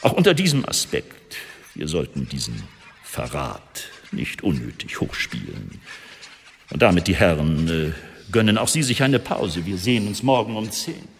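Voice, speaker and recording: An actor playing a worldly, self-assured secret service chief in a German historical radio drama, speaking in a measured, urbane way with pointed pauses. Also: male voice, deep voice, very animated, gravelly, heavily acted. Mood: measured, authoritative. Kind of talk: German radio drama acting, monologue report. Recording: long reverberant hall, faint noise.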